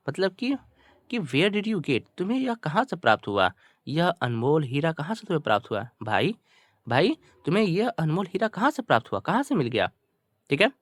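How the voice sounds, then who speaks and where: Male Indian tutor, Hindi native, lively swooping intonation, dry close sound, deliberate English reading with repeated explanations. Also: fairly high pitch, dry recording. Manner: repetitive, slow word-by-word reading of English, colloquial explanations. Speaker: English teacher explaining a story. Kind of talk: bilingual lesson, reading English phrases then explaining them in Hindi.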